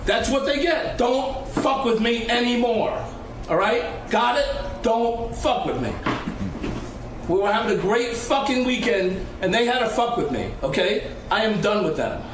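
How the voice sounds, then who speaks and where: Angry high-pitched man in echoey noisy room. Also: expressive, unhurried, far from the mic. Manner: blunt, repetitive, heavy swearing. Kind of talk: heated backstage argument. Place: backstage at a live show. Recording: noisy, echoey backstage recording, off-mic.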